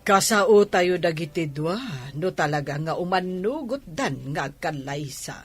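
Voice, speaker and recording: An actress playing a prying older matchmaking aunt in a radio drama, with a husky voice and Ilocano accent. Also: female voice, fairly low pitch, animated, unhurried, soft background music. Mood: firm. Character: older auntie, prying matchmaker wanting a grandchild. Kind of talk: Filipino radio drama acting.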